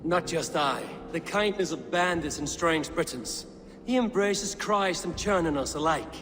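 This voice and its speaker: An actor playing a stern, vengeful Viking warrior in a video game, blunt, commanding and intense. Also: male voice, a little high, measured pace, lightly acted. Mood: firm. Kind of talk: dramatic video game acting. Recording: long reverb, music behind.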